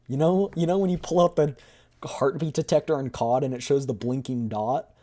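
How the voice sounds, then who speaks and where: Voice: mid-range voice, steady tone, moderate pace, mellow. A streamer doing a voiced readthrough of a game story, narrating the script and adding casual commentary.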